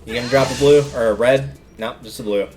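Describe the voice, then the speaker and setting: A man's voice: mid-range voice, brisk, a little mellow, soft background music, low-quality recording. A man giving casual, rambling livestream commentary on a video game, often stopping to explain things.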